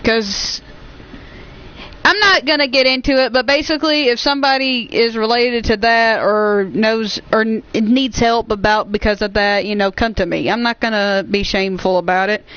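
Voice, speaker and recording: Woman, late teens, clear smooth voice, casual gaming chat, earnest, reassuring, rambling, muffled lo-fi recording. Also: on the high side, fairly even intonation, moderate pace, a bit bright, dry recording. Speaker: gaming YouTuber hosting a stream. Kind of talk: casual chat over gameplay.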